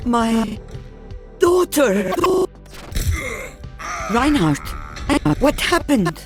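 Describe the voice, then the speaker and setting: A woman's voice: a little high, sing-song, very slow, full character voice. A woman playing a glitchy video game war machine copy of an old female sniper, speaking in a confused way. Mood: confused, searching. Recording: music behind the voice.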